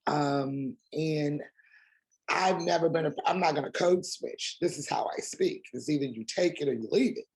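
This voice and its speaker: A woman with a raspy voice, speaking as a guest in a candid interview and pressing her points with emphatic rhetorical questions. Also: deep voice, darkish tone, low-quality recording.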